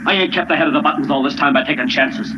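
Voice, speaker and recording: An actor playing a menacing, suspicious fugitive criminal in an old-time radio drama, curt and bossy with streetwise grammar. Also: male voice, a little high, racing, lightly acted. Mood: threatening. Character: menacing male fugitive criminal holding a couple hostage. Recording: noisy, muffled old radio recording.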